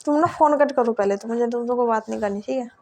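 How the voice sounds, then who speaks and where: A woman's voice: on the high side, fast talker, a little mellow. A young woman, chatting curtly and sulkily on a recorded phone call. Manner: sulky, curt. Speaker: caller chatting with partner. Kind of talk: casual phone chat.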